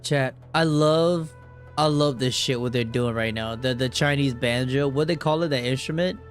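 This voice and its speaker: A man's voice: medium pitch, normal speed. A man streaming a game, giving casual live reactions in a laid-back, slangy, sweary way. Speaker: gaming streamer. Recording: game music behind the voice.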